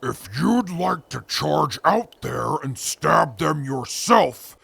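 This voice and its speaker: An actor playing a grim, calculating wasteland veteran with a gravelly, husky voice and measured, formal speech. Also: male voice, on the high side, expressive, a bit bright, no room sound, heavily acted. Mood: calm disdain. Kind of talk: post-apocalyptic audio drama.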